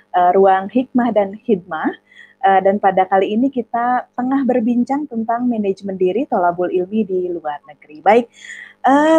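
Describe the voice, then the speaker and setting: A woman's voice: medium pitch, darkish tone, no room sound, lo-fi sound. Polite woman hosting, close dry sound. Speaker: talk show host. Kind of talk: hosting a religious talk show.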